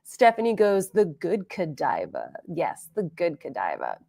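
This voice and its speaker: A woman's voice: lowish voice, huge pitch swings, a little slow, vocal fry, dark tone. A woman hosting a beauty livestream, chatting casually with viewers and reading their comments aloud, a little congested and sniffly. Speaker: beauty channel host.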